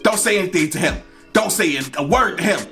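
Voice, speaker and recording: Guy doing a snooty bossy store manager lady, american, kinda higher pitch, scolds and repeats orders, story video w faint music. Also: brisk, fairly bright tone. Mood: bossy scolding. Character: haughty, nasty, bossy female store manager.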